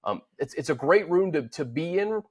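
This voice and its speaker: A man's voice: on the high side, expressive, normal speed, darkish tone, breathy edge. An American man co-hosting a sports show, giving casual, earnest, conversational analysis into a studio microphone.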